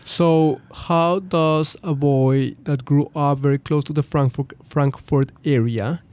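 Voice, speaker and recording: A man co-hosting a podcast who asks the guest questions quickly and a little haltingly. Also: a little high, fairly even intonation, a little mellow. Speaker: podcast co-host interviewer. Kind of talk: interview questions.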